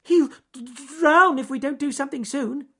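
Squeaky lil boy bunny for a kids story, way high, all flustered and excitable. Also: normal speed. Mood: panicked. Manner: excitable, repetitive exclamations. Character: small excitable fluffy male rabbit, squeaky and flustered. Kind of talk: children's storybook character voice.

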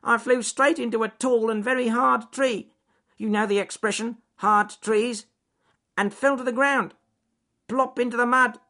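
A man's voice: very high-pitched, steady tone, measured pace, sharp tone, pitched above the performer's own voice, heavily acted. A man voicing a chatty, frightened lame duck who quacks and groans as he talks, in a children's story. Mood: frightened, complaining. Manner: quacking and groaning between phrases.

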